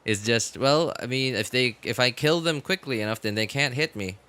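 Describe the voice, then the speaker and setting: A man chatting casually as he streams a game, rambling and halting as he thinks aloud. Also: medium pitch, sharp tone, a little hiss or hum. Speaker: live streamer. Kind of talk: casual gaming stream chat.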